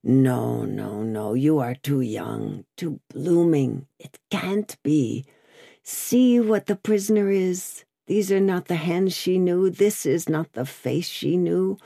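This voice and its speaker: A woman playing a broken old prisoner, slow and haunted. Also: on the low side, dark tone, no echo, clean studio sound. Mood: mournful, doubting. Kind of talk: dramatic audiobook character reading.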